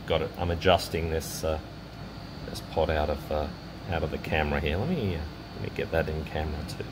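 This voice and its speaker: A man with a low-pitched voice talks through a hands-on electronics demonstration at his workbench. His delivery is casual and halting, as if thinking aloud while he works. A steady noise is clearly audible behind him. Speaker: electronics hobbyist presenter.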